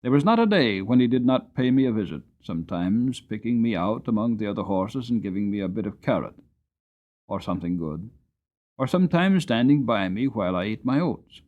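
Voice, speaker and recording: A man narrating an audiobook story in the first person, with a dramatic, gentle storytelling manner. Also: fairly low pitch, sing-song, brisk, phone-quality sound.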